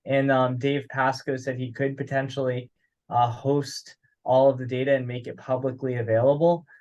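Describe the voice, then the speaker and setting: A man talking casually and haltingly in a teleconference, thinking aloud as he discusses things. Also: medium pitch, flat delivery, a little mellow. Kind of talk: casual conference call discussion. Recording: dull, lo-fi teleconference audio.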